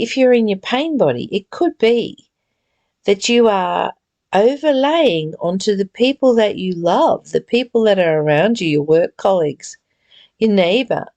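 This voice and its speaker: A woman reads and reflects as a spiritual teacher, earnest and deliberate. Her pitch moves widely and expressively, with a gravelly creak in the voice. She takes her time, gently exhorting the listener at a slow pace. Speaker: reader and spiritual study teacher. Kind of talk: read-aloud spiritual text with reflective, informal commentary.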